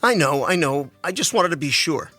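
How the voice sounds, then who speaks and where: Man playing a bright-voiced, inquisitive teacher. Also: a little high, animated, fairly quick, dry recording, soft background music. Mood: questioning. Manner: inquisitive, wry. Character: curious, joking married male teacher, loyal sidekick.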